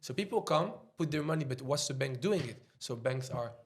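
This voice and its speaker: A man's voice: mid-range voice, expressive. Swiss French man, rambling casual talk. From Switzerland. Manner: loose and halting, heavy on "like" fillers. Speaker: podcast guest, trader.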